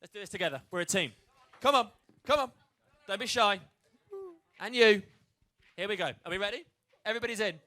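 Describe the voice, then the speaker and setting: A man with a high, bright voice hosts a live launch show indoors. He talks upbeat and chatty, rallying the crowd to gather round and join in. His tone stays sharp and forward. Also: fairly quick, long pauses. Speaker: host. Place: indoor event space with live audience.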